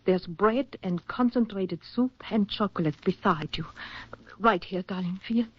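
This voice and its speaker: A woman's voice: medium pitch, unhurried, breathy edge. A woman acting a devoted, resolute lover in earnest 1940s radio drama style. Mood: tender, soothing. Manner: earnest, insistent, tender. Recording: muffled, noisy vintage 1940s radio recording.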